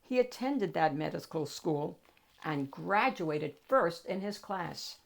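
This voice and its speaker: A woman narrating a children's picture book in an expressive, emphatic storytelling manner with deliberate phrasing. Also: fairly low pitch, gravelly. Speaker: storybook reader, read-aloud host.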